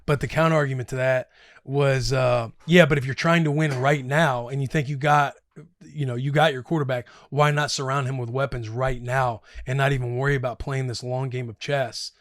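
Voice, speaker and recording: A man co-hosting a podcast, telling football stories in an animated way and swearing casually. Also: medium pitch, no echo. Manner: animated storyteller, swears casually, talks in quick bursts. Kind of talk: casual sports chat.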